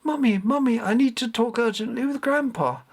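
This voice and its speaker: A man's voice: extremely high pitch, normal speed, creaky, voice pushed up, heavily acted. A man voicing an eager young boy who pleads urgently. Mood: pleading. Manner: pleading, breathless.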